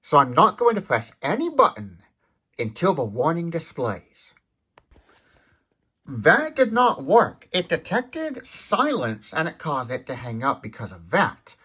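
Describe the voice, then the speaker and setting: A man's voice: fairly high pitch, wildly swooping pitch, measured pace, pushed and bright, slightly rough. A man hosting a step-by-step product demonstration, explaining as he goes and correcting himself while thinking aloud. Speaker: host of a phone gadget demonstration channel.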